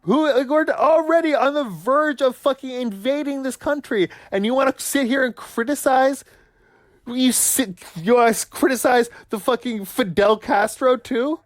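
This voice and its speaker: A man co-hosting a sports talk podcast who argues heatedly and swears constantly, ranting at his brother with forceful conviction. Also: very high voice, normal speed, no room sound. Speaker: podcast co-host.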